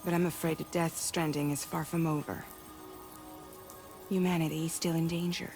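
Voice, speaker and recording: A woman playing a grave, guarded leader of a courier group in a sci-fi game, speaking in a measured, businesslike way. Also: low-pitched, flat delivery, music in the background. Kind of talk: cinematic game dialogue.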